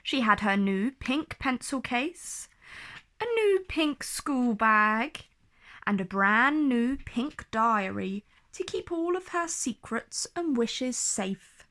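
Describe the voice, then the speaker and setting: A woman reading a children's storybook aloud in an expressive, deliberate storytelling manner. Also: on the high side. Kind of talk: scripted storybook narration read aloud.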